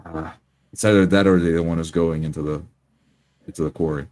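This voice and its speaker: A man improvising first-person roleplay as a tabletop game player, in halting phrases with repeated words. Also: deep voice, fairly even intonation, a little mellow.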